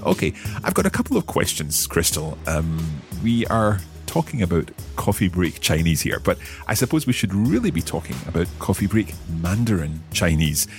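A man's voice: sits low, sing-song, music in the background. Scottish man hosting a language lesson. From Scotland. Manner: upbeat, careful, repeats phrases slowly to practise. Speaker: language course host. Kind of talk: scripted podcast lesson.

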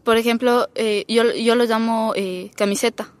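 A teenage exchange student from Ecuador with an Ecuadorian accent, answering thoughtfully and pausing with fillers as she searches for words. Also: a little high, fairly even intonation, no echo. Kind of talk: casual chat.

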